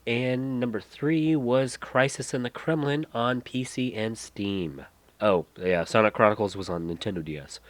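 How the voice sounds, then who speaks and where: A man's voice: medium pitch, measured pace, no room sound. A man hosting a solo podcast, congested and nasal from a head cold, rambling, trailing off and restarting mid-sentence. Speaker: podcast host.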